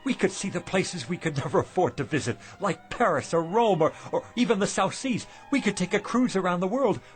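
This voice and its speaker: An actor playing a struggling, world-weary shopkeeper husband in a radio drama, skeptical and hungry for more. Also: male voice, sits high, lively intonation, a little fast, soft background music. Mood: excited, greedy.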